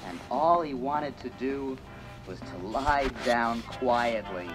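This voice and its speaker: Slow, animated read-along narrator. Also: medium pitch. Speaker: storybook narrator. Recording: 1980s read-along record with funky music. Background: funky 80s music track.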